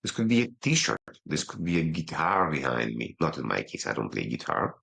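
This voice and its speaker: A man with an extremely deep voice that keeps dropping into a gravelly fry, with a husky edge. He speaks English with a non-native accent. He is a podcast guest on a video call, fast at heart but pausing deliberately. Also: sing-song, fairly bright tone. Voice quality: low voice. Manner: quick talker who slows himself down, pausing instead of fillers. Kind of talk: casual interview talk.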